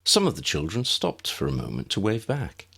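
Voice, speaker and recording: A man's voice: deep voice, big pitch swings, moderate pace, creaky, pushed and bright, slightly rough. A man narrating an audiobook story in a scripted storytelling style.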